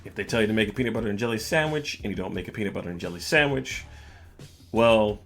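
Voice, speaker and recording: A man hosting a podcast, telling a personal story in a casual, measured way with music playing behind the voice. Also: lowish voice. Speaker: podcast host. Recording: music bed under the voice. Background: background music.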